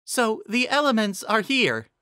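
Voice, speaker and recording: A man voicing a bright, inquisitive female earth pony who explains things. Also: sits high, big pitch swings, a little slow, clear, resonant tone, dry recording, clean studio sound, voice pushed up, heavily acted. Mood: puzzled.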